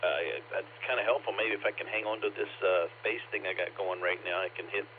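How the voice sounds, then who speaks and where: Low, scratchy-voiced man over ham radio. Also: fairly even intonation, brisk. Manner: rambling, chatty storytelling. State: scratchy, bassy voice from a cough. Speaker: ham radio net check-in. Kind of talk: casual on-air chat. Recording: lo-fi radio transmission, faint static.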